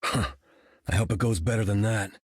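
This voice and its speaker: Raspy, guarded old comrade. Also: male voice, on the low side, moderate pace, dry recording, studio quality. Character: gruff, guarded male war veteran, old comrade.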